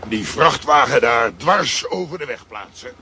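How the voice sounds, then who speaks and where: A man's voice: medium pitch, animated, unhurried, noisy background, lightly acted. An actor playing a terse strongman hero in a children's radio play, speaking in clipped bits that drop their pronouns. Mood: tense.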